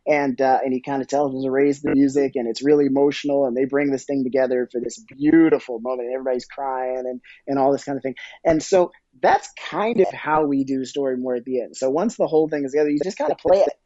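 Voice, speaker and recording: A man with a soft-edged, mellow voice of average pitch explains things casually as an interview guest, often pausing to find his words. The recording is very dry and a little dull. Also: dry recording. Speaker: podcast guest, audio drama producer and musician.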